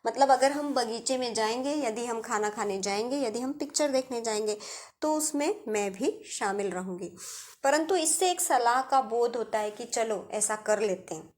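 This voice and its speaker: A woman's voice: a little high, moderate pace, darkish tone. A woman with an Indian accent giving a step-by-step grammar lesson as a teacher, addressing her students directly.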